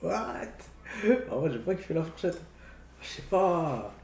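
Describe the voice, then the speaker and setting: A man's voice: fairly high pitch, sing-song, unhurried, creaky, a little mellow. Slangy young man, echoey room. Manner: slangy French peppered with English phrases. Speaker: podcast co-host. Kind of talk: casual film chat. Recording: roomy echo, faint background noise.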